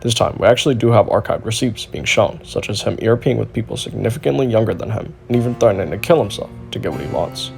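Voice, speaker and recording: A man reads a scripted exposé as a documentary narrator. He talks quickly in a lowish voice with plenty of vocal fry, over faint background music. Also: expressive. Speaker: video narrator.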